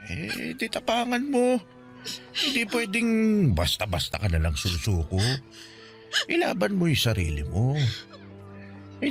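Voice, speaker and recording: A man with a Filipino accent playing a poor, stern but caring older guardian in a radio drama, scolding and pleading earnestly. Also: lowish voice. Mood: firm, advising. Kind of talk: Tagalog radio drama acting.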